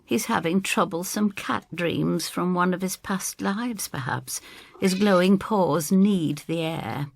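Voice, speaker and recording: An older woman reading scripted dramatic narration in the first person, measured, dropping into hushed asides. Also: on the low side, creaky.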